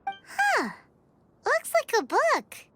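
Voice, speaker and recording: A woman voicing a tiny, childlike fairy companion in a video game, chatty, curious and exclamatory, with a squeaky voice. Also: wildly swooping pitch, very slow, noisy background, heavily acted. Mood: excited. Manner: talks about herself in third person, exclamatory. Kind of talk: animated video game voice acting. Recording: game music behind the voice.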